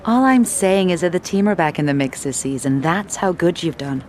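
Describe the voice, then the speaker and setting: A woman's voice: fairly low pitch, animated, moderate pace, a little hiss or hum, lightly acted. An actress playing a woman who teases and prods a racing driver, in a video game cutscene. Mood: teasing. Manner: teasing, prodding. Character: teasing woman close to the driver, playful.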